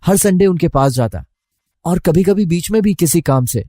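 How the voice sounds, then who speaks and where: Young male narrator for scripted first-person story, dark mellow tone, slightly high pitch, very dry recording. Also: expressive, moderate pace, dry recording. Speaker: narrator playing a college student.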